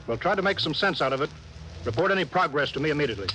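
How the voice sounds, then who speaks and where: An actor playing a stern, wary spaceship captain in a 1950s radio drama, giving clipped, authoritative orders. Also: male voice, on the high side, animated, rapid-fire, close to an everyday voice. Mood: commanding. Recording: noisy 1950s radio broadcast recording.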